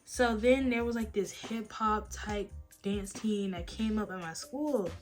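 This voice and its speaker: A woman's voice: mid-range voice, a little slow, music in the background. A young adult woman, chatting casually and telling stories in a late-night Q&A at home. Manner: chatty, animated storytelling with lots of "like" and "y'all". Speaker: vlogger. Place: at home, face mask on.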